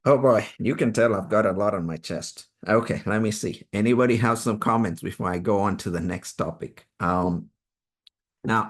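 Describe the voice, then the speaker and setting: A man whose first language is Swahili leads an online Bible study over a video call, explaining earnestly and emphatically. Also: sits low. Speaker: study group leader.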